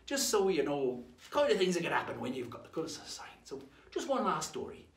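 A man's voice: fairly high pitch, big pitch swings, brisk. A man playing a jolly, boastful old pirate storyteller, rambling along in a folksy, theatrical way as he hosts a playful lesson. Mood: animated, boastful. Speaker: host of pirate-themed lessons. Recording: roomy echo.

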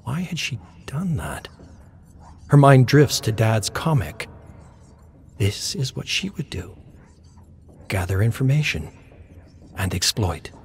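A man reading game lore as dramatic scripted narration, in a deliberate storytelling manner with dramatic pauses. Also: mid-range voice, animated, slight background noise.

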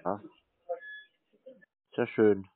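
A man, stubborn and complaining in a casual, provocative phone conversation. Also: very slow. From Germany. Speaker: prank call recipient baiting phone scammers. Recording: tinny, narrow phone line.

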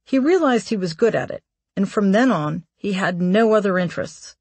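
A woman reading a memoir aloud as an audiobook narrator, in a measured, articulate way. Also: medium pitch, lively intonation, darkish tone, husky, no echo.

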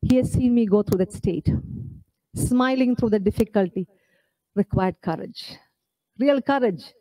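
A woman in her forties from India speaks with earnest emphasis into a podium microphone, pressing her audience with rhetorical questions. The sound is dry and close, with little room echo, and her pitch sits in a middle range. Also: a little slow, warm tone. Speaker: author at her book launch. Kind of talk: public speech at a podium. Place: indoor event stage.

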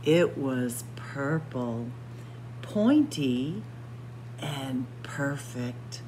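A woman reading a picture book aloud in a dramatic, suspenseful story-time style, sometimes hushed for emphasis. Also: rumbling low, drawn-out words, slight background noise, poor audio. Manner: suspenseful, expressive, drops to hushed whispers for emphasis. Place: indoor library room with bookshelves and windows.

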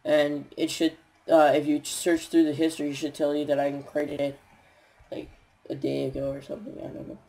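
A young man with a raspy voice and a stuffy nose, rambling tiredly with many fillers as he comments on his gameplay. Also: on the high side, completely flat delivery, moderate pace, warm tone, rough recording. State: stuffy nose, sore throat.

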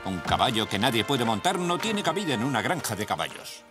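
A man's voice: mid-range voice, big pitch swings, brisk, lightly acted. A man with a Castilian Spanish accent voicing a stern, exasperated father who runs a horse farm in a children's cartoon, scolding and emphatic. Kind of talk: animated children's cartoon dialogue. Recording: music under the voice.

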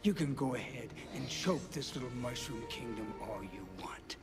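A man's voice: medium pitch, lively intonation, moderate pace, music in the background, close to an everyday voice. Man acting a swooping, sarcastic film villain. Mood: sneering, threatening. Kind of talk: live-action film acting.

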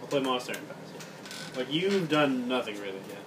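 Grumbling male card player, mid pitch, mumbled calls, picked up from far off with steady room noise. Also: normal speed. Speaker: card game opponent. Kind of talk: casual chat while playing. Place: indoor room at a game table. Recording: distant, noisy phone capture.

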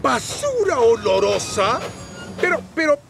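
A vain, grumbling cartoon train with a Latin American accent. The delivery is whiny and indignant, with lively swoops at a measured pace. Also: male voice, very high-pitched, gravelly, loud music behind the voice, full character voice. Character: vain, grumbling male steam engine. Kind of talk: cartoon voice acting.

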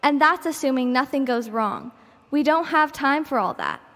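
A woman's voice: high-pitched, animated, moderate pace, a bit bright, in a large hall. A woman in an audio drama playing a cautious, stubborn shuttle engineer, exacting and argumentative. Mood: firm, exasperated.

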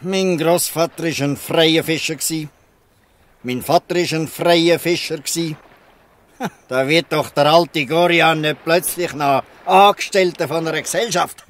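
A man's voice: fairly high pitch, slow, a bit bright. Man acting proud old Swiss German fisherman. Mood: proud, defiant. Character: proud, protective old free fisherman, fatherly. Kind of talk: dialect radio play acting.

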